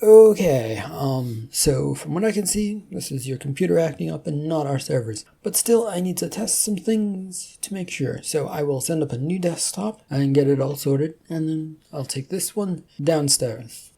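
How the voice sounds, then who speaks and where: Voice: mid-range voice, lively intonation, moderate pace, vocal fry, hardly any pauses, mellow, slightly rough, voice pushed up. A narrator playing a put-upon male office IT technician who explains things hesitantly in a story reading. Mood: placating, firm.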